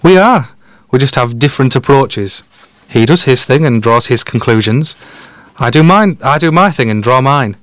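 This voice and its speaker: A man's voice: mid-range voice, expressive, normal speed, fairly bright tone, dry recording, close to an everyday voice. Sardonic, cocky Teesside detective played by a man. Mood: cocky, needling. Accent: Teesside English. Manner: blunt, dry sarcasm. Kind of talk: audio drama staged as a podcast.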